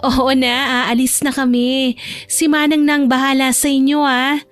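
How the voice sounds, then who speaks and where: A bossy big-sister type voiced by a female narrator nags playfully in a high voice. She teases as she goes, in audio drama style, with music underneath. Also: little pitch movement, unhurried. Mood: teasing. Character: bossy, teasing older female cousin. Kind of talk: Tagalog romance audio drama acting.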